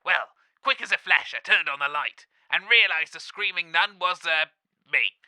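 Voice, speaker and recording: A daft, earnest woman storyteller voiced by a man in comedy audio drama. The voice is very bright and sharp, recorded close and dry, with dramatic but colloquial delivery. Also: fairly high pitch, animated, moderate pace, no echo, heavily acted. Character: daft, earnest woman telling ghost stories.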